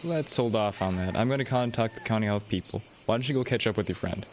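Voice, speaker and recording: A man playing a businesslike police officer in an audio drama, measured and calm as he questions. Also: fairly low pitch, slight background noise, close to an everyday voice.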